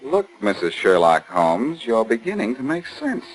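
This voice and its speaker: An actor playing a brash, confident amateur sleuth in 1940s radio style, blunt and insistent. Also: male voice, lowish voice, huge pitch swings, unhurried, exaggerated voice, low-quality recording. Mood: confident, bantering. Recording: 1940s radio broadcast recording.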